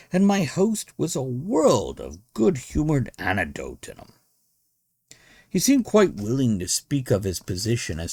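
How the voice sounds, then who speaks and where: A man reading a literary story as scripted narration, in an expressive, theatrical manner. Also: mid-range voice, no echo, clean studio sound. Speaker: podcast host and story reader.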